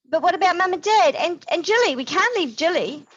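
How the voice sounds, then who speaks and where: Woman putting on a high, whining little boy. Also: lively intonation, fairly quick, a bit bright, muffled recording. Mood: whining, worried. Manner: whiny, pleading. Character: whining, anxious young boy.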